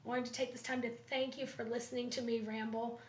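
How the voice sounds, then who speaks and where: Woman student presenter, slightly raspy, pitched a bit high, pauses with ums; dull, roomy home recording. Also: flat delivery, slight background noise. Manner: thoughtful, with frequent ums and pauses. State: slightly raspy from pollen allergies. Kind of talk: class presentation, leadership analysis.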